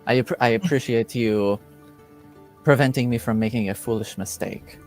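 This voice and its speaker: Male game master as fantasy revered mother: humble, flustered, halting, formal, medium pitch. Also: darkish tone, faint music behind, close to an everyday voice. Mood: flustered gratitude.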